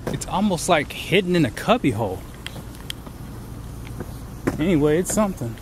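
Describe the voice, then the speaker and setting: A man's voice: a little high, big pitch swings, normal speed. A middle-aged man on a long-distance hike, talking casually and upbeat to a handheld camera outdoors, thinking aloud with fillers. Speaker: thru-hiker vlogger. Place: outdoors on a forest trail and in a small town. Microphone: handheld camera at arm's length. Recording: outdoor camera audio with background noise.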